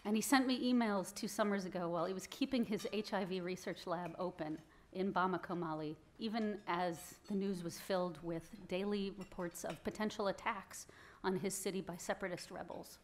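A woman's voice: mid-range voice, normal speed, slight background noise. A woman in her thirties giving an earnest acceptance speech into a stand microphone in an event hall, stressing key phrases. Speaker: award recipient giving speech. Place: event hall with audience, indoors. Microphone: stand mic at podium.

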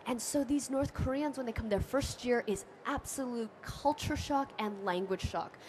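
A woman's voice: on the high side, normal speed, a little mellow, a little hiss or hum. A woman activist on a panel, explaining earnestly at a table microphone. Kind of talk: panel discussion. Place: bookfair panel stage with an audience, indoors.

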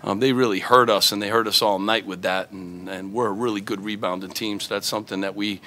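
A man's voice: lowish voice, steady tone, brisk, sharp tone. A middle-aged man, a head basketball coach, answering reporters at a postgame press conference, earnest and full of fillers and restarts, into table microphones.

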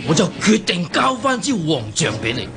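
Radio drama villain: aged, unctuous usurper king, Hong Kong Cantonese, highish animated voice, sighing, music behind. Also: moderate pace, vocal fry, heavily acted. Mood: feigned remorse. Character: old scheming usurper king feigning weary remorse.

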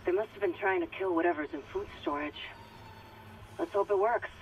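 An actress playing an alert, practical crew technician in a video game, speaking over a radio link. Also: female voice, medium pitch, brisk, faint music behind. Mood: concerned, focused. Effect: radio comms filter. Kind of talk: naturalistic video game acting. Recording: dull radio link, faint noise.

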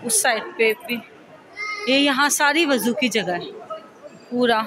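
A woman's voice: on the high side, animated, measured pace, noisy background. A woman giving a casual, chatty walking vlog, pointing out her surroundings, recorded on a handheld phone outdoors. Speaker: vlogger. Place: large busy open-air mosque courtyards and grounds. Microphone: handheld phone, speaker behind camera. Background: crowd of visitors.

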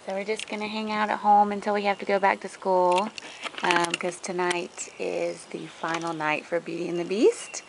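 A woman's voice: on the low side, little pitch movement, normal speed, gravelly, background noise. A woman vlogger chatting casually and informally in a giggly manner. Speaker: family vlogger. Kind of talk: casual chat to camera.

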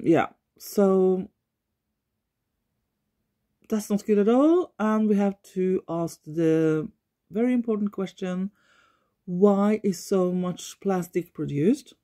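A Norwegian woman talking unscripted in her living room, pausing often as she searches for words. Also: lowish voice, dark tone. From Norway. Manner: thoughtful, searches for words, frequent pauses. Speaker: environmental vlogger. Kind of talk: unscripted talk to camera.

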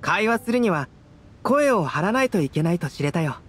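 An actor playing a gentle, curious young scholar in a video game, measured and thoughtful. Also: male voice, high-pitched, big pitch swings. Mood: calm, pleased. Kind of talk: Japanese video game voice acting. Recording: studio voice over guitar game music.

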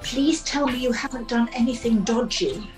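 A beseeching pantomime princess played by a woman, earnest and melodramatic. Her voice is notably high, with faint music underneath. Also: moderate pace, natural voice. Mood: anxious. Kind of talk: comic pantomime acting.

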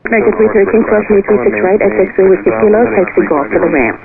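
A woman's voice: medium pitch, rapid-fire, creaky, crowd noise. A woman working as a tower air traffic controller, giving clipped instructions over aviation radio. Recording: tinny, narrow aviation radio.

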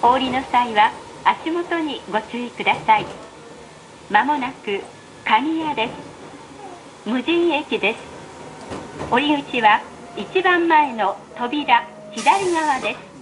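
High-voiced woman, slow train announcement. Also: lively intonation, rough recording. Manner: formal, evenly enunciated. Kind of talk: recorded train announcement, scripted. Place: played over speakers inside a moving train. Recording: train PA with clear running noise. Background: train running noise.

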